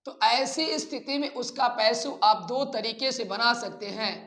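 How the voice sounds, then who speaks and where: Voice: mid-range voice, a little slow, fairly bright tone. An Indian teacher giving an instructional English grammar lesson, didactically asking questions and then answering them. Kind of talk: instructional lecture mixing Hindi and English terms. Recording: echoey room.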